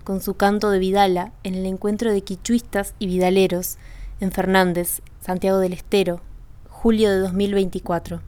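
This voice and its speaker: A woman reads a radio announcement in a steady, mid-pitched voice. Her intonation stays flat and even from phrase to phrase. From Uruguay. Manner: measured, even announcing delivery. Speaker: radio presenter. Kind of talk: scripted radio narration.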